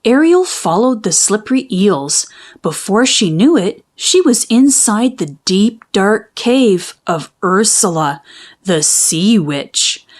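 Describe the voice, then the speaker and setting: A woman reading a children's story aloud in expressive, dramatic, carefully phrased narration. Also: mid-range voice, takes it slowly. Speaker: storybook reader.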